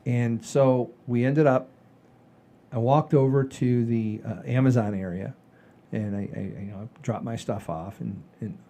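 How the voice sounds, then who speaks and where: Male comedy show host, mature, dark soft-edged voice in a middle register, chatty and meandering. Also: mid-range voice, vocal fry. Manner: rambling, animated storyteller with frequent swearing. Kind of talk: casual chat, storytelling.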